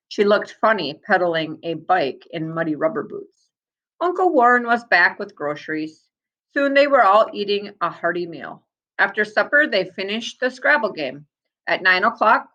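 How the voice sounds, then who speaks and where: A woman reading a storybook aloud like a teacher, with deliberate, expressive delivery and asides to her young listeners. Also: on the low side, highs cut off.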